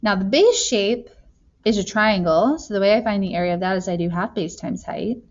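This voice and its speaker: A woman's voice: medium pitch, normal speed, dull audio. A woman teaching math, talking through worked examples in a casual, chatty way and thinking aloud with joking asides.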